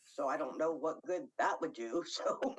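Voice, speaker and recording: A woman's voice: deep voice, moderate pace, husky. A woman being interviewed for local news, telling a story casually in a plain-spoken, wryly amused way.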